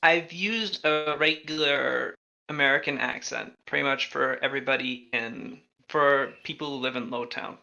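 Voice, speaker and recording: Guy gm narrating a tabletop game, slow and halting, gravelly bright voice. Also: medium pitch, muffled recording. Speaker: game master.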